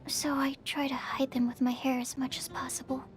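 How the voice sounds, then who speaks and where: High-voiced, shy young female alchemist played by a woman. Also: flat delivery, brisk, faint music behind, lightly acted. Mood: shy, hesitant. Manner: hesitant, polite, bookish. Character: shy, earnest young female alchemist researcher, socially awkward. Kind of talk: video game character voice lines.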